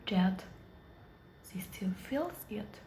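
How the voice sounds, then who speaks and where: A woman reading poetry aloud in a measured way, pausing long between phrases. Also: mid-range voice, expressive, dark tone. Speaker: poetry reader. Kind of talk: slow poetry recitation. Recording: roomy echo with faint background noise.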